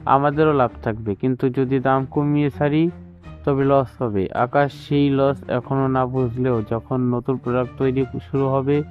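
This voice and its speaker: A thin, phone-like recording with music behind carries a man acting a serious company boss and stern father from an audio drama. He speaks at a middling pitch, measured, firm and used to giving orders. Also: close to an everyday voice. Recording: tinny phone-like sound.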